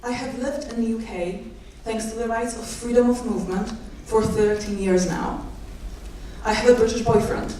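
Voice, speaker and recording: A young woman with a Polish accent giving a measured rally speech with wry, self-deprecating asides. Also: on the high side, fairly even intonation, distant mic, noisy background. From Poland. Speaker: guest speaker, doctoral student. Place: large indoor hall at a rally. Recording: echoey hall, mic from afar.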